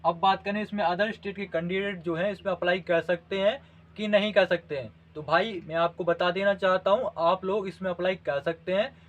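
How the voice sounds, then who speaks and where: The recording is dull and lo-fi. A man talks like an online coaching host. He explains details informally and instructively, often pausing to confirm that the listener is following. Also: high voice. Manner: instructional, repeatedly checks in with the listener. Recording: dull lo-fi audio.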